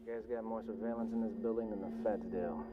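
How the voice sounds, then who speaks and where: An actor with an American accent playing a smug, taunting crooked villain in a video game. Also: male voice, lowish voice, steady tone, quick pace, dull audio, very noisy, lightly acted. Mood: smug gloating. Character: smug, menacing male crooked surveillance man. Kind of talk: video game cutscene acting. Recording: loud music over the voice.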